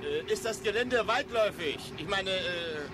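Nosy hobby filmer guy, halting and formal, radio play, vehicle noise behind. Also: high-pitched, expressive. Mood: inquisitive.

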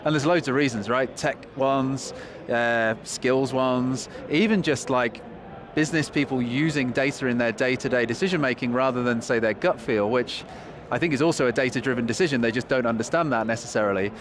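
An energetic man with a Northern English accent talks through his answers fast, with ums and restarts. His voice sits in an average range. He was recorded on a lapel mic with clear trade show noise behind him. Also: medium pitch. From England. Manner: quick, enthusiastic, with fillers. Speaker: guest, company chief executive. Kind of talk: conversational interview answers. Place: convention hall floor.

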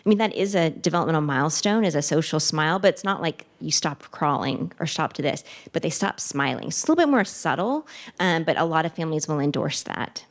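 A woman's voice: low-pitched, expressive, a little fast. A woman in her thirties, a child neurologist, answering audience questions into a handheld microphone in a conference room, thoughtful and full of fillers and restarts. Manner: gestures with her free hand while speaking. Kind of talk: answering questions at a medical talk. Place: conference room in front of a wooden wall.

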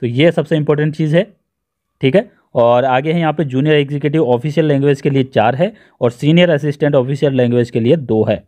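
Higher-pitched Indian man explaining step by step. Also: fast talker, dark tone. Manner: instructional, step-by-step, repeats points for emphasis. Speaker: presenter of job notification videos. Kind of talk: explanatory screen-share tutorial narration.

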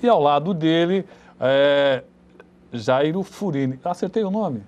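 A man's voice: a little high, animated, a little slow, darkish tone. A middle-aged man hosting a TV interview show in a conversational, rambling way. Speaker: TV talk show host. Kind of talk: interviewing guests in a studio. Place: small TV studio.

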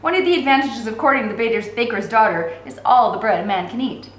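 A woman's voice: fairly high pitch, fast talker, close to an everyday voice. Woman reading as a chatty young shopkeeper, roomy sound. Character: friendly, talkative young male shopkeeper, quietly conspiratorial. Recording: roomy echo with faint background noise.

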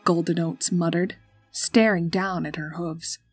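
A woman's voice: lowish voice, little pitch movement, measured pace, breathy edge. A woman narrating a scripted story as its storyteller. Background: music.

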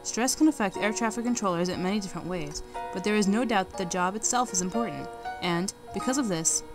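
A woman's voice: medium pitch, fast talker. A young woman, a student narrator, reading a formal documentary script. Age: young adult. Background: music bed.

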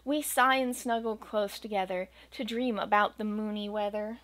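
A young woman reading a rhyming children's picture book aloud at home, with a gentle, expressive storytelling cadence. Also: mid-range voice, normal speed, slight background noise. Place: small home room with bookshelves and green screen.

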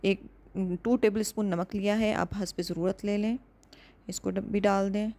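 A woman calmly narrating a step-by-step home cooking recipe as the host of a cooking channel, measured and instructive. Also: mid-range voice, creaky.

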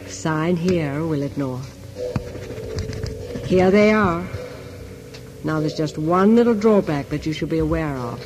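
A man's voice: fairly high pitch, animated, takes it slowly, pauses often, noisy background. An actor playing a sly psychic shopkeeper in a radio drama, insinuating and theatrical as he bargains for souls. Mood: warning.

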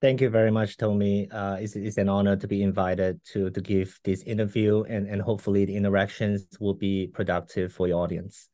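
A man's voice: fairly low pitch, little pitch movement, brisk, hardly any pauses, mellow, no room sound, clean studio sound. A man in his forties from Malaysia, whose first language is not English, answering interview questions casually with repeated words and fillers over a video call. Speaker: guest scientist. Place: room at home.

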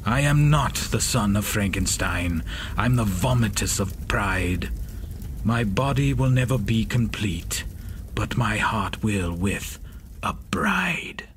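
An actor playing Frankenstein's creature, an anguished, poetic monster, in a solemn, measured horror audio drama monologue. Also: male voice, lowish voice, animated, a little hiss or hum. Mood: tender, resolute. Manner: solemn, measured, theatrical delivery.

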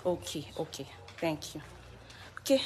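A woman reporter briskly interviewing and prompting on a live walkthrough. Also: deep voice, animated, slight background noise. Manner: brisk, prompting, many okays. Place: outdoor compound, then indoor rooms.

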